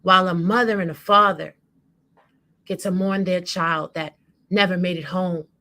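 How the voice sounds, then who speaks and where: Slow, emphatic woman delivering spoken word. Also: mid-range voice, sharp tone. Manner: dramatic, emphatic, deliberate phrasing. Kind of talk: impassioned spoken-word monologue.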